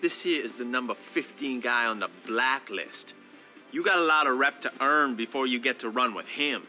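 Brash, forceful street racing henchman. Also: mid-range voice, moderate pace, soft background music. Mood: challenging. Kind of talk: video game cutscene acting.

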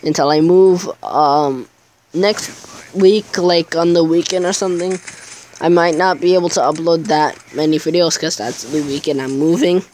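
A man's voice: fairly high pitch, fairly even intonation, normal speed, a little mellow, a little hiss or hum. A teenage boy chatting casually over his gameplay video, rambling and hesitant, with many fillers. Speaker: gaming YouTuber.

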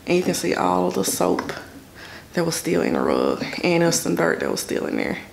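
A woman's voice: fairly low pitch, wildly swooping pitch, moderate pace, creaky. A woman, casually narrating her cleaning as a vlogger in a garage. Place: home garage. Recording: noisy home camera recording.

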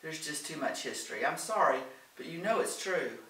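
A man's voice: a little high, lively intonation, moderate pace, bright, forceful tone, reverberant, distant mic, rough recording. A man reading the part of a troubled, evasive son in a storybook, reluctant and placating. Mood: apologetic.

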